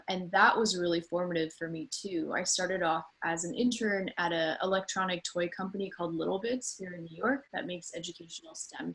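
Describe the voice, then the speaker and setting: Female panelist, thirties, somewhat low pitch, earnest informal storytelling with emphatic repetition. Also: fairly bright tone, poor audio. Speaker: designer and small business owner, panel guest. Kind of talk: informal panel talk about own career.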